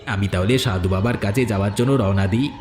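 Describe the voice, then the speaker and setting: A man's voice: lowish voice, a little fast, a little mellow, natural voice. An actor playing a reluctant, greedy village henchman, hesitant and complaining, in a fairy-tale cartoon. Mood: grudging. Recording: echoey studio dub with background music.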